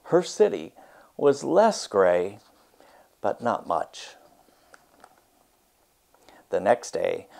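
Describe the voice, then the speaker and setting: A man teaching and reading a storybook aloud with deliberate, emphatic, expressive phrasing. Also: mid-range voice, vocal fry.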